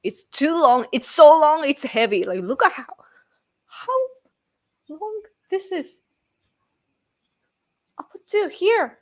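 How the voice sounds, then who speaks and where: A woman's voice: sits high, sing-song, slow pace, long pauses, no echo, clean studio sound. A Japanese woman in her late thirties with a Japanese accent, chatting casually on a live stream and laughing often as she answers viewers. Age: late 30s. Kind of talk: casual chat.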